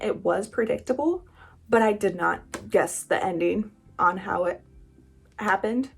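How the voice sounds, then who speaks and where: A woman's voice: on the high side, vocal fry, husky. A woman hosting a book vlog, talking in a casual, chatty style with informal, emphatic asides. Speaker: book reviewer and channel host. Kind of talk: casual chatty video monologue.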